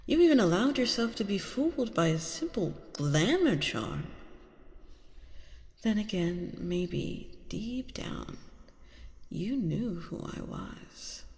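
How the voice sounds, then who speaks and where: A woman playing a haughty, seductive vampire queen in an ASMR roleplay, teasing and gloating over her captive with deliberate phrasing and breathy whispers. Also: mid-range voice, darkish tone, in a large hall.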